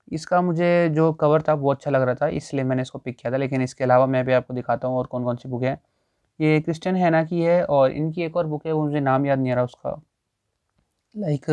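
A young man talks casually as he browses a cramped indoor bookstore, thinking aloud about each find. His voice sits a little high, and the sound is dry and close with almost no room echo. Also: darkish tone, clean tone, highs cut off. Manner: chatty, thinking aloud while browsing shelves. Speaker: booktuber. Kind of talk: casual walkthrough vlog. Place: small indoor bookstore with packed shelves.

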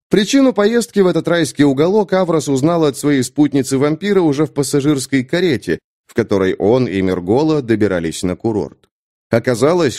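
Expressive male audiobook narrator, lively intonation. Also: medium pitch. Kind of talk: expressive scripted fantasy narration.

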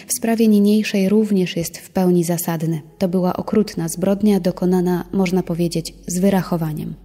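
An actress voices a woman quoted in a true crime documentary, formal and restrained. Her delivery is very flat and her tone dark, with long hall reverb around the voice. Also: fairly low pitch, lightly acted. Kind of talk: reading quoted statements in documentary.

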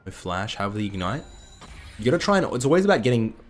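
A young man with a low, slightly croaky voice talks through a game replay in a chatty way, now and then bursting into excitement. He sits at a desk indoors, and music plays behind him. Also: fast. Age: young adult. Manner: chatty, excitable bursts over calm analysis. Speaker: gaming commentator. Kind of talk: casual replay commentary. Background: background music.

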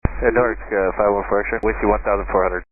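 A man's voice: fairly low pitch, fairly even intonation, fast talker, narrow, tinny audio. A man speaking as a pilot, giving clipped readbacks over an aircraft radio. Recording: noisy band-limited cockpit radio with clicks.

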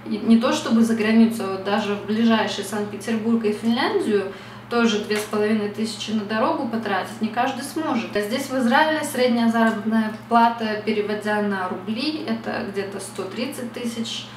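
A woman's voice: on the high side, fairly quick, reverberant, distant mic, a little hiss or hum. A young woman from Russia talking casually to camera at home, reflective and chatty, with many fillers. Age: young adult. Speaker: beauty vlogger. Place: small bright room at home.

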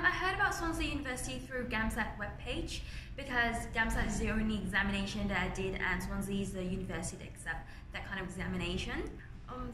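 A young woman in her twenties from Thailand, speaking English with a Thai accent, earnestly answering interview questions as a medical student. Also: fairly high pitch. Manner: earnest, plain-spoken, slightly non-native grammar. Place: indoors by large windows, university building. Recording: roomy echo with clear ambient noise.

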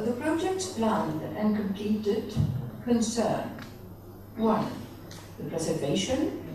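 A middle-aged woman reads a formal conference paper at a lectern, in a measured way with occasional self-corrections. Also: medium pitch, dark tone. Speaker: conference speaker reading a presentation. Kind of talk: reading a prepared conference paper. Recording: echoey conference hall, distant mic, faint background noise.

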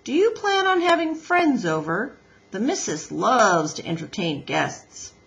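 A woman voicing a gruff, stubborn father beaver in a children's storybook, blunt and folksy in manner. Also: mid-range voice, big pitch swings, measured pace, a bit bright, heavily acted. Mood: folksy, grudgingly friendly. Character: gruff, stubborn, grudgingly helpful old-fashioned father beaver.

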